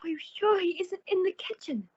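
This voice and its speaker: Lady playing sweet naive nervy woman in an old radio thriller, super high voice, dull dry lo-fi sound. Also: a little fast, dry recording. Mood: frightened. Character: pretty, naive, nervous woman, sociable and placating. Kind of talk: old-time radio suspense acting.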